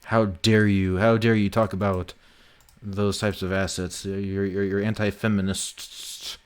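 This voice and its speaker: Male stream host, deep voice, dry teasing banter, relaxed conversational delivery. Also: a little slow, smooth. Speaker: livestream host and game developer. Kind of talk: casual chat.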